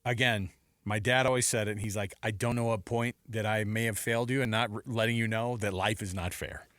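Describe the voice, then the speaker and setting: Fast-talking, emphatic male sports radio host. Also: on the low side, fairly even intonation, a bit bright, no room sound, studio quality. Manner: emphatic, informal, repeats himself. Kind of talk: lively, opinionated sports talk. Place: radio studio. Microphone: close broadcast studio mic.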